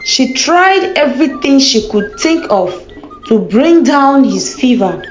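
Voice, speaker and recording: Slow, high-voiced woman narrating a folktale. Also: big pitch swings, a little hiss or hum. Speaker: storyteller. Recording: dull lo-fi sound, roomy echo. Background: background music.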